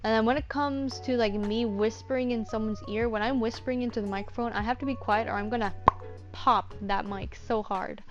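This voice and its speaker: A woman with a fairly high voice talks through a tutorial in an informal, self-deprecating way, thinking out loud as she goes. The recording is slightly dull and lo-fi, with soft music underneath. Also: steady tone, a little fast. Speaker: voice actor giving script-writing advice. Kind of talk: casual tutorial chat.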